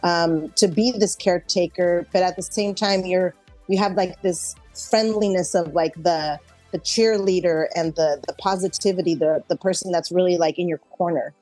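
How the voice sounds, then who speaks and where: A woman's voice: fairly low pitch, fairly even intonation, normal speed, warm tone, dry recording, soft background music. A middle-aged woman actor chatting casually in an interview, speaking in a reflective, conversational way.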